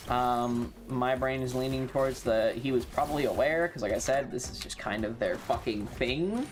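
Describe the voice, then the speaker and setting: A woman's voice: rumbling low, normal speed, darkish tone, soft background music. A woman streaming a game and chatting casually in a sweary, offhand, conversational way. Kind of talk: casual livestream chat while gaming.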